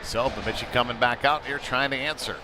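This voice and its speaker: Man calling hockey over clear rink noise. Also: mid-range voice, a little fast. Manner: conversational, breaks into excited calls during scoring chances. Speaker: play-by-play sports commentator. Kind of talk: live youth hockey commentary. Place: indoor ice rink during a game. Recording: clearly noisy sports rink behind voice.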